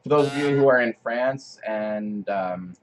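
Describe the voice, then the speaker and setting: A man's voice: medium pitch, a little slow, faint music behind, rough recording. A man co-hosting a podcast, talking in a casual, joking way. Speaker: podcast co-host.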